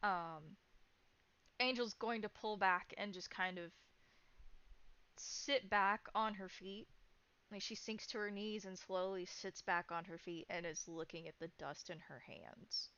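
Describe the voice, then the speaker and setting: A woman's voice: mid-range voice, unhurried, pushed and bright, clear, resonant tone, dry recording, lo-fi sound. A woman narrating and making rulings as game master of a tabletop vampire game, in a conversational, descriptive and decisive way.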